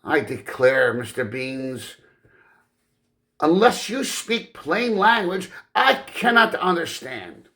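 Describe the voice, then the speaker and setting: Man as proud Victorian lady, genteel, indignant, formal, deliberate pace, animated pitch, audiobook character. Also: exaggerated voice. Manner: formal, emphatic speech.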